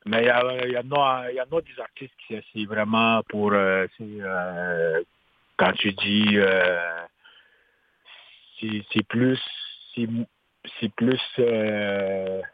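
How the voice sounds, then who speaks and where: Halting man on a tinny phone line. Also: lowish voice, fairly even intonation, no echo. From Quebec. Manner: hesitant, many restarts and fillers. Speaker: singer-songwriter guest. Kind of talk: radio interview.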